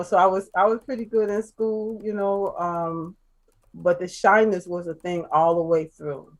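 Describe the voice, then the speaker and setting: A woman from Chicago, a guest telling her life story in casual chat, soft-spoken and halting, with many fillers and restarts. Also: fairly low pitch, poor audio. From Chicago, USA.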